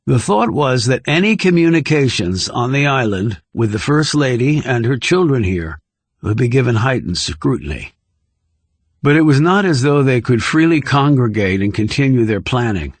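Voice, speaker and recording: A man reading scripted thriller narration in a measured, composed way. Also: medium pitch, big pitch swings, dry recording. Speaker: audiobook narrator.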